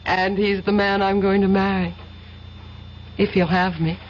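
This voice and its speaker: An actress playing an earnest, gentle young woman in a radio drama. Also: mid-range voice, level tone, unhurried, natural voice. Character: earnest young woman, conflicted but warm. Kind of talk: 1970s radio drama acting. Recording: lo-fi old radio recording with faint hiss.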